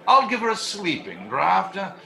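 Man as pompous scheming doctor, older, forceful, slow, roomy sound, period radio drama. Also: high-pitched, huge pitch swings, creaky, faint music behind, exaggerated voice. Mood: smug. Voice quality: theatrical, deliberate, pompous. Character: pompous, scheming, chauvinist male doctor villain. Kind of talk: period radio play acting. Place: at home on a video call. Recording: roomy home video-call sound.

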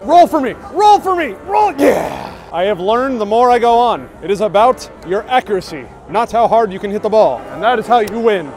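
A young man in his twenties giving excited running commentary at night, filming himself on an action camera at arm's length, with loud outbursts. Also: high-pitched, normal speed, few pauses. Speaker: vlogger. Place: outdoor stadium golf bays at night, rain. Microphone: action camera held at arm's length. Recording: noisy outdoor crowd and music. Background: crowd chatter and music.

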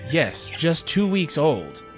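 A man's voice: a little high, huge pitch swings, slow pace, soft background music, heavily acted. A man playing an earnest, proud doctor of genetics who explains things matter-of-factly in an audio drama. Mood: reassuring. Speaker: voice actor in a fan audio drama.

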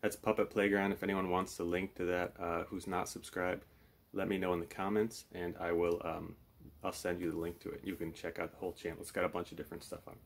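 A man's voice: low-pitched, level tone, quick pace, creaky. A man in his thirties hosting a puppet show with dry, teasing, casual banter, in a room at home. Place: small room at home, indoors.

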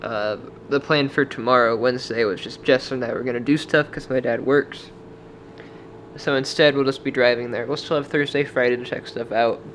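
A man's voice: medium pitch, fairly even intonation, normal speed, fairly bright tone, a little hiss or hum. Chatty young man vlogging from a motel. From Washington state, US. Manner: chatty, rambling storytelling with many "like" fillers. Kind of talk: casual travel vlog with voice-over. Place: dim motel room, indoors. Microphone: camera held at arm's length.

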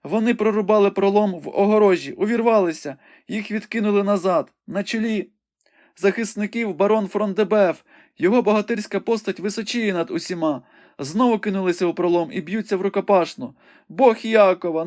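A man's voice: high voice, a little fast, a little mellow, pitched above the performer's own voice, close to an everyday voice. A man voicing a young woman who watches a battle from a window and cries out what she sees. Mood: urgent, fervent. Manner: breathless, exclamatory reporting. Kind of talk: audiobook reading.

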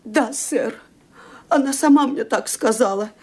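An actress playing a respectful, helpful housemaid in a radio play. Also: female voice, fairly high pitch, a little slow, a little mellow, slightly rough. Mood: earnest. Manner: respectful, eager to help. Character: dutiful young female housemaid. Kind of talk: Soviet radio play acting.

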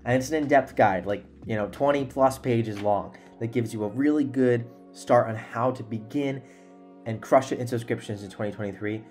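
A man's voice: medium pitch, normal speed, darkish tone, faint music behind. A young man hosting a podcast in a conversational style, speaking earnestly and emphatically into a desktop microphone. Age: young adult. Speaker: podcast host. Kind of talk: conversational podcast introduction and interviewing. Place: small indoor room at home. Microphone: desktop microphone close up.

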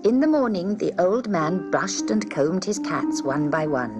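A woman reading a children's story aloud in an expressive, dramatic way. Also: lowish voice, measured pace, loud music behind the voice.

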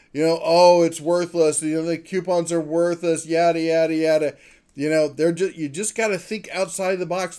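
A man's voice: on the high side, darkish tone. Chatty man running through tool deals. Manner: chatty, upbeat, talks through price math aloud. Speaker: tool channel host. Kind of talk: casual product deal rundown.

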